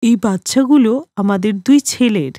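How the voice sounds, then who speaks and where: A woman's voice: a little high, normal speed, warm tone, no echo, clean studio sound, voice pushed down, natural voice. A woman voicing an ageing, long-neglected grandmother in a cartoon story, sarcastic and reproachful toward her husband. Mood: matter-of-fact. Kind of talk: cartoon story character acting.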